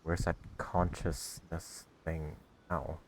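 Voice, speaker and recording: A young man hosting a gaming video, giving casual, halting commentary as he plays. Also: rumbling low, level tone, slight background noise.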